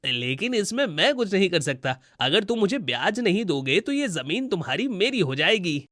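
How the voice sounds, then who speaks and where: A man's voice: sits high, sing-song, normal speed, fairly bright tone, no echo, studio quality. A man voicing a grasping, threatening village moneylender in a cartoon story, with a smug, unyielding manner.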